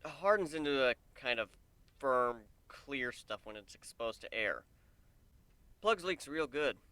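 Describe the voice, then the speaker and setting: A man's voice: medium pitch, lively intonation, measured pace, bright, forceful tone, clean tone, no echo. A man voicing a male pegasus pony in a story reading, plainspoken, folksy, wry and earnest. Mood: frustrated.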